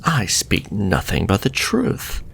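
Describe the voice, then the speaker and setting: A man playing a suave, flirtatious, sinister warlock shopkeeper in a fantasy ASMR roleplay, speaking softly and courteously and drifting into breathy whispers. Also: lowish voice, wildly swooping pitch, slow pace, soft background music, heavily acted. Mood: flirtatious, coaxing.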